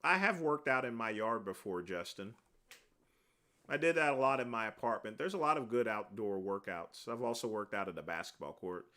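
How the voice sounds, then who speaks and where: A deep-voiced man hosts a casual livestream and rambles through viewer questions one by one. His pitch moves a lot as he talks, and his tone is bright and forceful. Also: lively intonation, normal speed. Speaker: livestream host answering chat.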